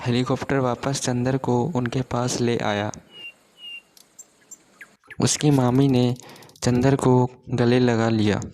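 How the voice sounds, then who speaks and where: A male narrator reads a scripted children's tale. His pace is slow and his medium-pitched voice stays level, with little rise and fall. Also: little pitch movement, darkish tone, slight background noise.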